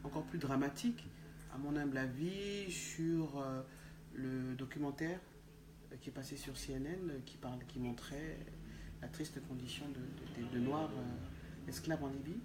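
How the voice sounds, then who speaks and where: A woman's voice: very deep voice, moderate pace. A woman hosting an interview, asking long questions with many fillers. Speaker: interview host. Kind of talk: conversational interview. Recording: echoey room with faint background noise.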